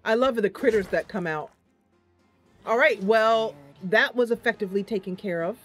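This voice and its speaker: A woman talks through her game session in a loose, chatty way, pausing often with fillers as she thinks aloud. Her voice sits at a middling pitch. Faint game music plays underneath. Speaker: gaming channel host. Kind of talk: casual gameplay commentary.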